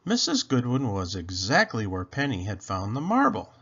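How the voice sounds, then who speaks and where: Animated, husky man reading a children's story. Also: fairly low pitch, lo-fi sound. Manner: animated, deliberate storytelling delivery. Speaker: storybook read-aloud host. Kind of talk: expressive children's story narration.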